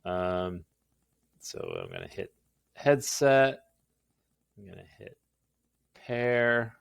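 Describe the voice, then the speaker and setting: A man's voice: on the low side, huge pitch swings, slow pace, gravelly, clean tone, no echo, clean studio sound. A man casually rambling through a product demo as a video host, talking to himself and joking at his own expense in a goofy way. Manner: goofy, self-deprecating joking; talks to himself while working. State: tired.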